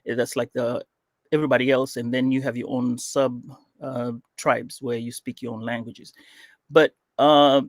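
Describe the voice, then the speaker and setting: A man from Kenya, with Swahili as his first language, chats as a podcast guest in a loose, rambling way. He keeps stopping to reach for words and fills the gaps with "uh" and "um". His voice is mid-pitched and sits close and dry on the mic. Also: little pitch movement, moderate pace, no echo. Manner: rambling, with frequent fillers and restarts. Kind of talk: casual interview chat.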